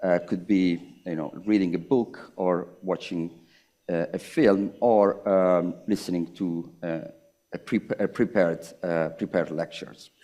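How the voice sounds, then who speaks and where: Panel speaker: middle-aged man, slightly low pitch, halting and thoughtful, lots of pauses. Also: steady tone, dark tone. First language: Italian. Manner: halting, hesitant, with many pauses and restarts. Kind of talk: reflective public conversation.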